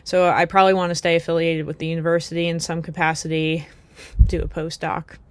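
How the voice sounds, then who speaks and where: A woman's voice: deep voice, little pitch movement, brisk, smooth, no echo. A young American woman talking through her thoughts in a casual, reflective way, with many fillers and restarts. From United States. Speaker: vlogger.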